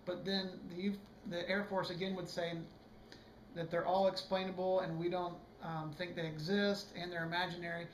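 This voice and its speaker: A hoarse man giving a casual, rambling video book review, full of fillers and restarts. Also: high-pitched, little pitch movement, moderate pace, roomy sound, slight background noise, low-quality recording.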